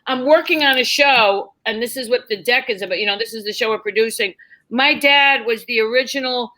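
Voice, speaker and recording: A high-voiced woman with a bright, forceful edge. She tells stories in an animated, conversational way, speaking candidly and emphatically and slipping into quoted dialogue as she goes. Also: fairly quick. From New York, United States. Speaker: comedian guest.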